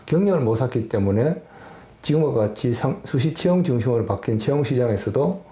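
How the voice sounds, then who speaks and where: A man with a very dark, mellow voice speaks in a careful, analytical way. The room around him echoes noticeably. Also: medium pitch, animated, a little fast. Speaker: expert interviewee. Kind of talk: documentary interview.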